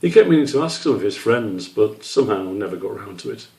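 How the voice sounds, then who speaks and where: Mellow man reading a children's story. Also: lowish voice, big pitch swings, a little fast, vocal fry. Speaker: author reading his children's book.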